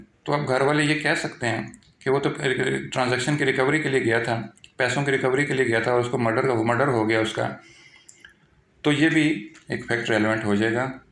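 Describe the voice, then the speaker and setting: A man's voice: medium pitch, fairly bright tone. A man with a Pakistani accent giving an informal explanatory law lecture, repeating himself and mixing English legal terms into his explanations. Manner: repetitive, filler-heavy explaining with English legal terms mixed in. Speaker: law teacher. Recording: echoey, roomy sound.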